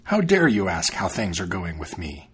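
A man's voice: low voice, huge pitch swings, normal speed, fairly bright tone, a little hiss or hum, voice pushed down, exaggerated voice. A man doing an arrogant sneering fox. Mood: scornful. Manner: sneering, haughty. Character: arrogant scornful male fox.

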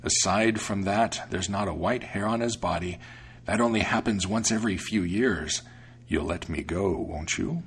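A man voicing a sly, greedy male storybook cat who talks slowly and dryly as he deceives. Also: low-pitched, breathy edge. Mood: wheedling. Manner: slow, dry, drawn-out delivery. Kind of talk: storybook character voice.

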